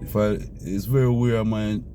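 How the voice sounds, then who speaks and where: A man's voice: fairly low pitch, steady tone, moderate pace, over music. A man talking casually to his viewers while driving, rambling and repeating himself. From Jamaica. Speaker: vlogger. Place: car in the city. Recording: noisy car recording with traffic.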